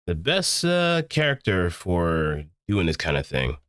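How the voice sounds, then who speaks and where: A man streaming a casual tutorial, explaining things step by step in a deliberate, halting way with emphatic repetition. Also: deep voice, wildly swooping pitch, a bit bright, no echo, clean studio sound.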